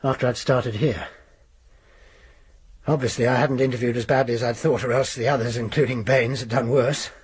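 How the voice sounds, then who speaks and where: A man's voice: mid-range voice, steady tone, normal speed, husky. An actor playing a guilt-ridden married man who confesses in a hesitant, defensive way in a radio drama. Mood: guilty, defensive.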